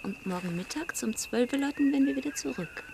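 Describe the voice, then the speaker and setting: An actress playing a shy, tender deaconess in love, speaking softly and hesitantly in an intimate radio drama. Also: female voice, high-pitched, expressive, a little fast, background noise. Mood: dreamy, tender. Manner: breathy, soft, hesitant.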